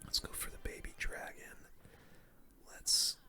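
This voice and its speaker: A gamer giving ASMR game commentary in a breathy, unvoiced whisper, with casual slang. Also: unhurried, bright, forceful tone. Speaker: gaming video creator.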